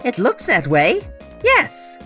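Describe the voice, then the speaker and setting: An actor voicing an excitable, theatrical little dog in a game fandub. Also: medium pitch, slow pace, faint music behind, full character voice. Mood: miffed. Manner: theatrical, exclamatory. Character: excitable, dramatic, loyal little dog ghost pal.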